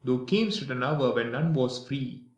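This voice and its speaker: A grieving male servant played by an actor speaks slowly and solemnly in tragic verse. He is reproachful and sorrowful, heard a little way from the mic in a roomy space. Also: mid-range voice, lively intonation, dull audio, lightly acted. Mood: bitter, mournful. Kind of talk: Greek tragedy in archaic verse. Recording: echoey room, distant from mic.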